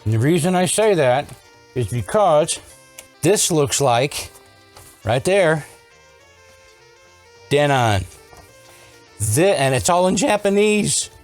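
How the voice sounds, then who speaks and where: A man's voice: fairly high pitch, big pitch swings, slow pace, long pauses, soft background music. Casual man explaining repairs, thinking aloud. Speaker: vintage electronics repair host. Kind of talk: casual hands-on repair tutorial.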